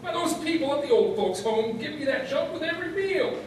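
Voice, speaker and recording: A folksy, hard-of-hearing old man with a very high voice, cranky and muddled as he rambles through a radio comedy. The recording is distant and noisy, swimming in room echo. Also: expressive, fairly quick. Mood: rambling, cranky. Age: elderly. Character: cranky, hard-of-hearing old man, self-proclaimed small-town sage. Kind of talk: live comedy radio play acting. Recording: very echoey hall, distant and noisy.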